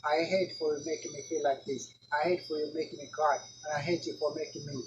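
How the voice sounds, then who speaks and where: A man's voice: fairly high pitch, level tone, quick pace, highs cut off, noisy background, lightly acted. A man reading as a bitter, hurt teen. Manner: accusing, bitter, emotional. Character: angry hurt teen, bitter about friend's suicide. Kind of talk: dramatic monologue reading.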